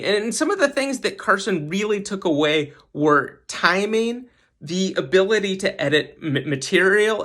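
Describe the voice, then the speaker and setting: A man's voice: sits high, fairly bright tone. A man who hosts a podcast, giving casual, emphatic commentary and often repeating words for stress. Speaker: podcast host giving a guest segment.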